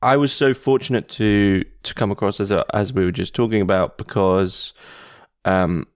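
A man hosting a podcast interview in a casual way, often pausing with fillers and restarting his sentences. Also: fairly low pitch, steady tone, no room sound, studio quality. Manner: hesitant, many fillers and restarts. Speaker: podcast host.

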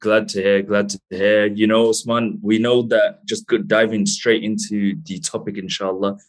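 A man hosting an interview, talking in a relaxed, conversational way and using Islamic phrases. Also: lowish voice, moderate pace. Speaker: host. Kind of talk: casual interview.

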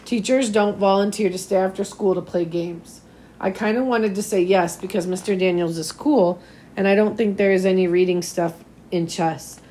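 Slightly hoarse woman reading a story aloud. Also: mid-range voice, normal speed, warm tone, a little hiss or hum. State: slightly hoarse, recovering from nearly losing voice. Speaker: teacher reading a novel aloud to fifth graders. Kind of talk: read-aloud storytelling of a children's novel.

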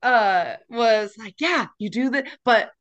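A woman's voice: a little high, expressive, slow, a bit bright, lo-fi sound. A woman co-hosting a podcast in casual chat, rambling and giggly, sometimes breaking into song. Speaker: podcast co-host.

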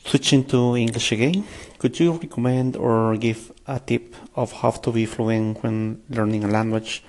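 A man's voice: medium pitch, steady tone, measured pace, darkish tone. A man hosting an interview, asking questions and thanking his guest in formal, slightly non-native phrasing. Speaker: podcast host.

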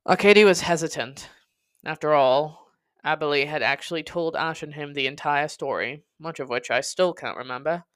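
A woman's voice: low voice, moderate pace, fairly bright tone, smooth. A woman reading a scripted first-person story in a literary, dramatic storytelling manner. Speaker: podcast host and narrator.

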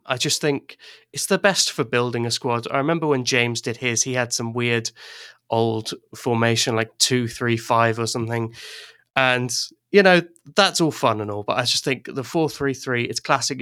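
A man's voice: mid-range voice, moderate pace, a bit bright, clean tone, dry recording, clean studio sound. An English-born man chatting casually as a podcast guest, rambling a little, restarting sentences and laughing often. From England. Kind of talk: casual chat.

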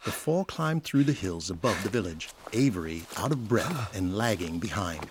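Low-pitched male drama narrator. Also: expressive, moderate pace, a little hiss or hum. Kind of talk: scripted audio drama narration. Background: prominent music.

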